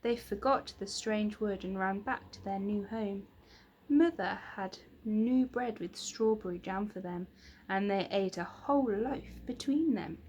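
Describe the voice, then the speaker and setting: A woman reading a children's story aloud in an expressive storytelling manner. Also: mid-range voice, measured pace, a little hiss or hum. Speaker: reader of children's stories. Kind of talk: expressive scripted storybook narration.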